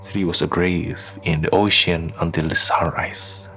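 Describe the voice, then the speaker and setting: A man narrating a scripted radio drama in measured, dramatic short phrases. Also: sits low, flat delivery, creaky, over music.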